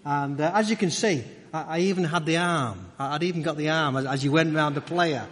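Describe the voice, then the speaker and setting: A middle-aged man preaching a conversational sermon, halting and repeating his phrases as he goes. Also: a little high, a bit bright. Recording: echoey hall with long reverb.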